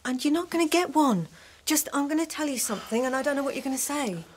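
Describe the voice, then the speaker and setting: A woman's voice: high voice, lively intonation, fast, slight background noise. An actress in a radio drama playing an anxious, exasperated, frank and persistent girlfriend. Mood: serious. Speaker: actor.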